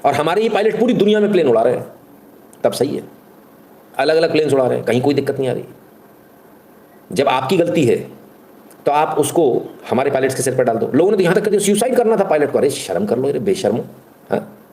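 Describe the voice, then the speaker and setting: Male commentator, mellow but emphatic. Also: mid-range voice, expressive, fast talker, long pauses. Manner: emphatic, rhetorical questions, colloquial, mixes English into Hindi. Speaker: live commentary show host. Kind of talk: opinionated news commentary to a live audience.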